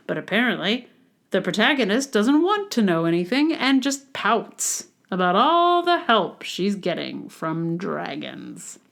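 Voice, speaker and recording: A woman's voice: on the high side, a bit bright. A woman hosting a podcast, reading her own book-review blog aloud in a wry, sardonic way with exaggerated emphasis. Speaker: podcast host.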